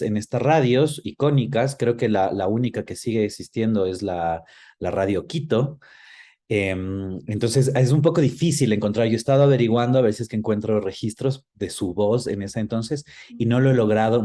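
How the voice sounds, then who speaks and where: A man's voice: fairly low pitch, mellow. A middle-aged man answering interview questions thoughtfully, with hesitations and little checking tags. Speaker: interview guest, screenwriter and filmmaker. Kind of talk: reflective conversational interview.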